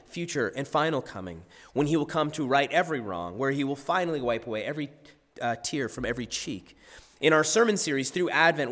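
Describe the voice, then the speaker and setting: A male pastor with a husky, hoarse voice that still sits a little on the high side, delivering a conversational sermon. He mixes scripture reading with easygoing, self-deprecating asides. His words ring out with a long reverb, as in a large church sanctuary. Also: a bit bright. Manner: conversational, self-deprecating humor, occasional restarts.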